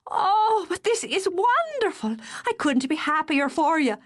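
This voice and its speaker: A woman voicing a lively, teasing young woman in an audiobook, bright and quick to flare up. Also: sits high, voice pushed up. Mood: excited. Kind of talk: audiobook character voice.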